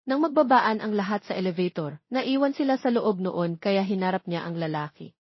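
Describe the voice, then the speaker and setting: A woman's voice: medium pitch, expressive, moderate pace, husky, no echo, lo-fi sound. A woman reading a scripted romance story as its narrator, close to the microphone.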